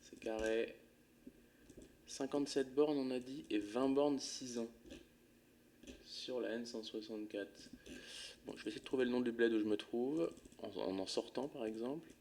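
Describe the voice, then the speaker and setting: A man's voice: mid-range voice, moderate pace, long pauses, a little mellow, a little hiss or hum. A man commentating his own play of a geography game live, thinking aloud in a casual, muttering way with some swearing.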